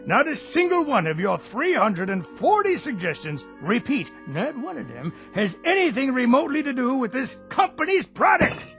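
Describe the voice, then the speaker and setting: An actor playing a stern, exasperated company boss in a radio drama, clipped, formal and emphatic. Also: male voice, very high voice, big pitch swings, normal speed, hardly any pauses, fairly bright tone, soft background music, heavily acted.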